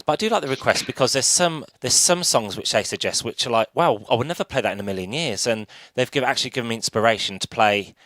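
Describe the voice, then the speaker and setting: A man's voice: mid-range voice, a bit bright, no room sound. Chatty male radio presenter. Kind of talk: radio interview.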